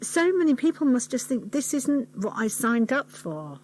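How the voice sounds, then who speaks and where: A woman's voice: high voice, big pitch swings, moderate pace. A woman with a British accent hosting an informal radio interview, thinking aloud with some hesitation.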